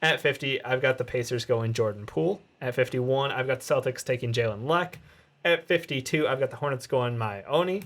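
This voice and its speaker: Man, casual podcast co-host, medium pitch, quick list reading, joking asides. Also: fairly bright tone. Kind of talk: rapid-fire mock draft reading.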